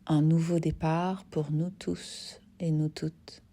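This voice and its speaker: Slow, mellow, low-voiced woman guiding relaxation. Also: level tone. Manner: gentle, unhurried, pausing between short phrases. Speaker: yoga nidra teacher. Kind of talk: guided relaxation meditation.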